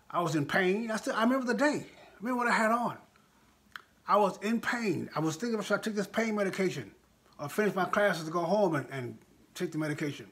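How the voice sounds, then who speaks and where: A man's voice: high voice, fairly bright tone. An American man in his sixties speaking informally but with passion to live viewers, emphatic and repeating phrases for effect. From United States. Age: 60s. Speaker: live stream host and political speaker.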